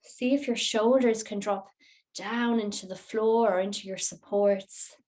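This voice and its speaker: Gentle woman guiding relaxation. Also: medium pitch, steady tone. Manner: gentle, unhurried, soothing. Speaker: yoga nidra teacher guiding a class. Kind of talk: guided relaxation instruction.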